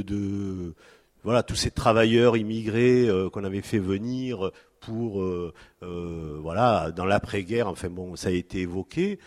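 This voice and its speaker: Man in his fifties, panel speaker, lowish voice, halting delivery with lots of filler, long hall echo. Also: darkish tone. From France. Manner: halting, many fillers and restarts. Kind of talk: hesitant spoken talk at a public panel.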